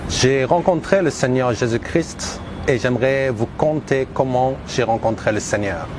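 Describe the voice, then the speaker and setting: A man in his thirties from Algeria gives a personal religious testimony, speaking earnestly and emphatically in deliberate, repeated phrases. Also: medium pitch, background noise. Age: 30s.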